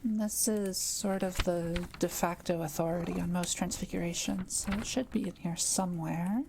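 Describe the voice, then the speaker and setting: Kind witch for audio roleplay, female performer: relaxed, crackly low-leaning voice, gentle and thoughtful, quietly proud of her craft. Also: normal speed, vocal fry. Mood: absorbed, explaining. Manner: gentle, hesitant, explanatory musing with small hums. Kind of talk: intimate audio roleplay addressed to the listener.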